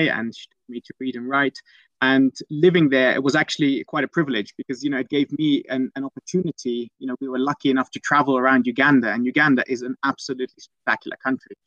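A man's voice: medium pitch, fairly bright tone, no echo, lo-fi sound. Hesitant young man from the Netherlands. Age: young adult. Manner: hesitant, many restarts and ums. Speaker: guest, conservation program manager. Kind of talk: casual storytelling interview.

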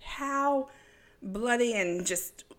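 A woman's voice: fairly high pitch, extremely slow, fairly bright tone. A woman from East Texas co-hosting a casual podcast, blunt and jokey, laughing often. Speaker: podcast co-host.

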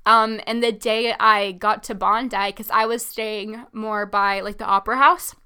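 A woman's voice: mid-range voice, fairly even intonation, a little slow, pushed and bright, clear, resonant tone. A twenty-five-year-old woman hosting a podcast, chatting casually and upbeat, with lots of "like" and "um", answering listener questions. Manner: chatty, upbeat, lots of "like" and "um", laughs between thoughts. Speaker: podcast host.

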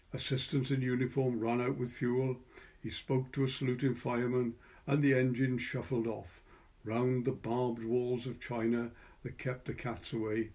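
A Welsh man with a soft, dark-toned voice of middling pitch. He speaks as a curator and reader, moving through explanation and literary prose in a relaxed way. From Wales. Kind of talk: informal introduction and literary story reading.

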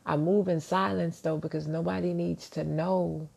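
A man hosting a casual motivational podcast monologue. He talks in a soft, deep voice, quietly so as not to wake his neighbors, and sounds self-assured and reflective, rambling with restarts. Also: flat delivery, normal speed, gravelly, husky. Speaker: podcast host. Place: at home, early morning.

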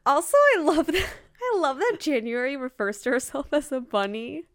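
A woman talks casually as a podcast co-host in a fairly high voice. Her intonation swings widely as she gushes and stresses her points. Her phrasing is loose and informal, sprinkled with filler words. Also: moderate pace. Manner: gushing, emphatic, expressive. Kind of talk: casual chat about a romance novel.

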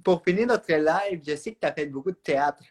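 A man's voice: on the high side, a little fast. A man hosting a live interview, chatty and full of "ouais" and "tu sais". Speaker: live stream host. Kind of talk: casual interview.

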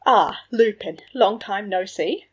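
A woman plays a smug young noble wizard in a storybook, teasing and gallant. The voice is husky and the recording slightly dull. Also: medium pitch, takes it slowly. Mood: smooth teasing. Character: cocky, playful young aristocratic wizard brother.

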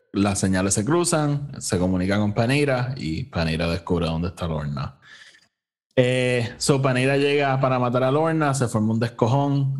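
A man with a Puerto Rican accent hosting a casual, informal review chat, mixing English phrases into his Spanish. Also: fairly low pitch. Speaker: podcast host.

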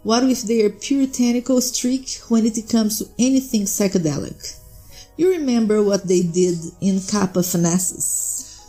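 A cool, knowing smuggler woman, put on by a man reading the story. She talks in a high, mellow voice with a breezy, matter-of-fact ease, as if every deal were routine. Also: measured pace, faint music behind. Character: sly smuggler woman, adult, cool and businesslike.

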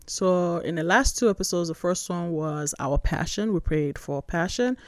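A woman's voice: on the low side, darkish tone, no room sound, clean studio sound. A woman in her forties from Nigeria, born in New York, hosting a devotional podcast in a conversational, emphatic way. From born in New York, raised in Nigeria. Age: 40s. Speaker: podcast host, church spiritual formation director. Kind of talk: casual devotional podcast talk.

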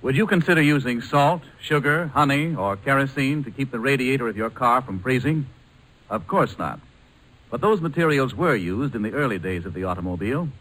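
Lively American male commercial announcer. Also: mid-range voice, brisk, rough recording. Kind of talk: 1940s scripted radio advertisement.

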